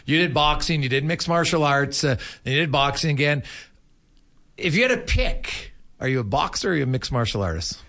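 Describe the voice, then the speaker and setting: A man's voice: fairly high pitch, lively intonation, brisk, fairly bright tone. A man hosting a casual sports interview, asking rambling, conversational questions. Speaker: sports show host, interviewer.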